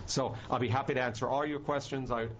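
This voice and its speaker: A man's voice: medium pitch, fairly even intonation, quick pace, a bit bright, slight background noise. A man giving brief formal testimony at a hearing, then handing over to the next witness. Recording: dull, muffled hearing-room recording.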